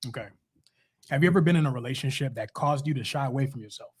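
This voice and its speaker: A man from Miami hosts a loose podcast conversation at an ordinary male pitch. He is laid-back and chatty, tossing out questions and checking in with his friends as he goes. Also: quick pace, husky. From Miami, United States. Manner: laid-back, asks questions, often says "you know what I'm saying". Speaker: podcast host. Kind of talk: casual podcast chat.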